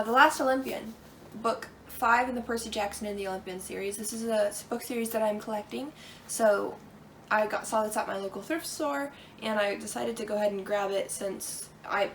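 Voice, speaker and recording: A woman's voice: medium pitch, steady tone, fairly quick, a bit bright, distant mic, a little hiss or hum. A woman talking eagerly about the books she got, rambling a little between titles. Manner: enthusiastic, rambling. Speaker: co-host. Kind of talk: casual book haul chat.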